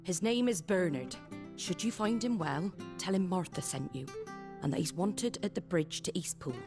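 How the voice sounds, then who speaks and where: An actress playing a plain-spoken, warm-hearted village landlady with a British accent in a fantasy video game, colloquial and matter-of-fact. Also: on the low side, lively intonation, normal speed, loud music behind the voice, lightly acted. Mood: practical, hopeful.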